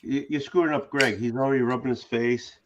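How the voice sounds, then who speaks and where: A man's voice: mid-range voice, steady tone, fairly quick, lo-fi sound. A man in casual group chat with a joking, teasing manner.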